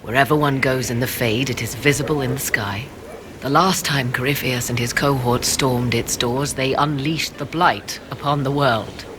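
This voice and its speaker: An actress playing a mysterious, proud sorceress in a video game, speaking in formal, archaic and measured phrases with a low, creaky voice. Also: female voice, steady tone, background noise. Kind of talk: video game dialogue acting.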